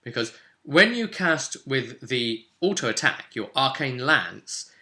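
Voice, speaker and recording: A man's voice: mid-range voice, very animated, slow, sharp tone. A man giving a casual, chatty tutorial as a game guide, emphatic and conversational, often adding tag questions. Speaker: tabletop game guide presenter.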